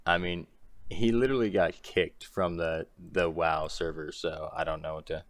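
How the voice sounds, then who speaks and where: A young man talks through a rambling, exasperated rant, his very low voice worn rough in the throat. The sound is close and dry with no room around it. He keeps breaking into short laughs between his complaints. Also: fairly even intonation, slow, a bit bright, dry recording. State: strained throat. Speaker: commentary creator. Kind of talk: ranting commentary.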